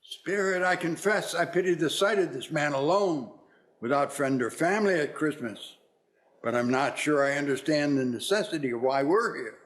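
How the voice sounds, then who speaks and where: A man playing a troubled, questioning older miser with an earnest, halting delivery in an amateur radio play. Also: fairly high pitch, animated, natural voice. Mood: pitying, unsure.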